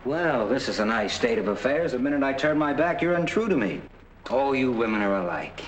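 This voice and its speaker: An actor playing a jovial, teasing young clinic worker in a 1940s film, with a playful manner. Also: male voice, medium pitch, animated, a little fast, over music, close to an everyday voice. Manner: playful, bantering. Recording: noisy old 1940s film soundtrack.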